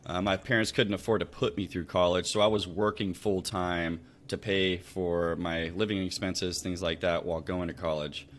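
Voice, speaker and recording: Low-voiced American man chatting casually. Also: steady tone, normal speed, hardly any pauses, a little hiss or hum. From Louisville, Kentucky, United States. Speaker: podcast guest, real estate investor. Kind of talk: casual conversational storytelling.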